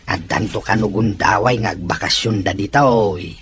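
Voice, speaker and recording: A man playing a gentle, doting elderly grandfather in an audio drama, speaking deliberately. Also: fairly low pitch, slight background noise, lightly acted. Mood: wistful, resigned. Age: older. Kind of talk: Ilocano audio drama dialogue.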